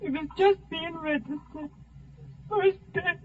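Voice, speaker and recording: A woman's voice: extremely high pitch, slow pace. An actress playing a tearful, heartbroken mother in a 1950s radio drama. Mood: grief-stricken. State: tearful, crying. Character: grieving young mother, dazed and heartbroken. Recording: tinny old 1950s radio recording.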